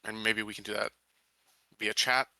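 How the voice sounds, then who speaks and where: Male player, lowish pitch, strong creak, casual tentative talk, dry close sound. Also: flat delivery, normal speed, sharp tone, dry recording. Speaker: tabletop game player. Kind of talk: casual chat.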